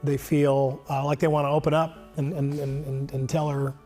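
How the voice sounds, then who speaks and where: A man's voice: fairly high pitch, little pitch movement, normal speed, mellow, faint music behind. A man anchoring a newscast with a polished, earnest delivery. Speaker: news anchor. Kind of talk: newscast introduction.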